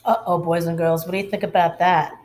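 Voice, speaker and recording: A woman reading a picture book aloud as a schoolteacher, expressively and deliberately, putting questions to her young listeners. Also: fairly low pitch, little pitch movement, a little mellow, slight background noise. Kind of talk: expressive children's storytime read-aloud.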